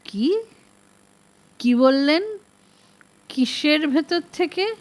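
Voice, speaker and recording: A woman's voice: high voice, animated, pitched above the performer's own voice, exaggerated voice. Lady doing a drunk grumpy older lawyer man from a detective audiobook, sarcastic, draggy words, swoops up and down. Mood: exasperated outburst. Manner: sarcastic, theatrical, drawn-out mock formality. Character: tipsy, pompous, exasperated older male barrister. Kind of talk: audiobook detective story reading.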